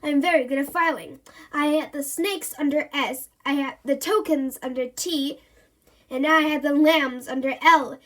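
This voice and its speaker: A woman's voice: moderate pace, a bit bright. A woman reading a children's story aloud and voicing a fussy, growling monster that is obsessed with filing. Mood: bossy. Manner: booming, indignant, growling.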